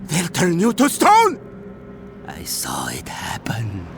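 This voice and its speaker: A man's voice: a little high, wildly swooping pitch, slow pace, over loud music, full character voice. A man voicing a frantic, half-mad survivor in a fantasy game, erratic and panicky. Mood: hysterical, fearful. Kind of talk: scripted fantasy game acting.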